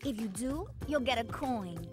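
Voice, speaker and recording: A woman's voice: on the high side, animated, normal speed, soft background music, close to an everyday voice. A woman playing a perky, vain hippo in a video game. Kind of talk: video game character acting.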